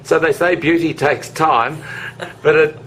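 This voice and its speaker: A man's voice: a little high, measured pace, fairly bright tone, a little hiss or hum. A man filming his cruise, chatting and joking as he interviews fellow passengers. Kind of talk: casual travel vlog narration.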